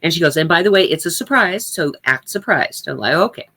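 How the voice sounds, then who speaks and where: A woman's voice: on the low side, animated, fairly quick, pushed and bright. An older woman giving a reflective personal talk, speaking candidly and conversationally with emphasis on her points.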